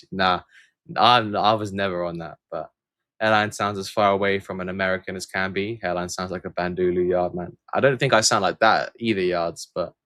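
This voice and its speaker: A man's voice: low voice, a bit bright, no room sound. A man from England hosting a casual livestream, reading viewer comments aloud and answering them in a rambling, hesitant way full of fillers and restarts. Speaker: channel host answering viewer questions.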